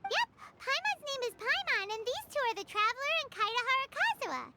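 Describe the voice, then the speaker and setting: A woman's voice: very animated, fairly quick, heavy background noise, full character voice. A woman voicing a tiny, chirpy, childlike fairy guide with an eager, bossy manner in a video game cutscene. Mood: cheerful. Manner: third-person self-reference, squeaky and eager. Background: game music.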